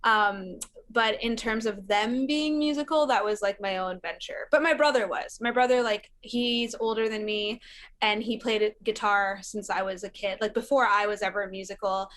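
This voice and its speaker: A woman's voice: medium pitch, moderate pace, fairly bright tone, a little hiss or hum. A young woman from Syria, a musician guest chatting casually, laughing often and using lots of fillers. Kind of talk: casual interview chat.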